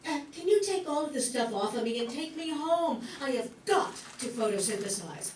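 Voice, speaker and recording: A woman's voice: high-pitched, expressive, moderate pace, a little mellow. A woman playing a sassy, exasperated talking Christmas tree in a comic radio play, full of wisecracks and exclamations. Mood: wry, sassy. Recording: echoey room, distant mic, background noise.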